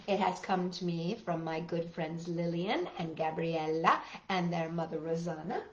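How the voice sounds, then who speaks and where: A woman's voice: fairly low pitch, fairly even intonation, unhurried, slight background noise. A woman hosting a children's storytime, reading aloud with exclamations and chatty asides addressed directly to young listeners. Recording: roomy, dull lo-fi sound, faint music.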